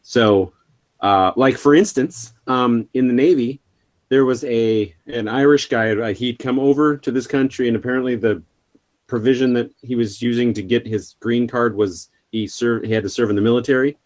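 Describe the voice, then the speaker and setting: North dakota guy cohosting a podcast, easygoing, tells stories, mid-pitch voice. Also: moderate pace. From North Dakota, US Midwest. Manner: easygoing, anecdotal, laughs as he talks. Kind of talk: casual chat.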